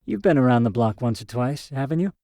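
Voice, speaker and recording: A man's voice: medium pitch, a little fast, mellow, dry recording, clean studio sound, pitched above the performer's own voice, close to an everyday voice. A man voicing a witty, teasing woman scientist in short story dialogue. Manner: playful, teasing.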